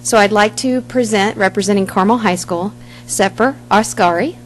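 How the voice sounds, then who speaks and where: A middle-aged woman, a high school staff member, reading a formal award announcement in a measured way into a podium microphone in a large boardroom. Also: slight background noise, rough recording.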